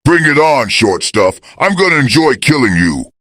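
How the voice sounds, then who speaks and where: A man's voice: mid-range voice, sing-song, moderate pace, fairly bright tone, no echo, studio quality. A man voicing a bloodthirsty, taunting monster villain, as a video game character. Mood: gloating menace.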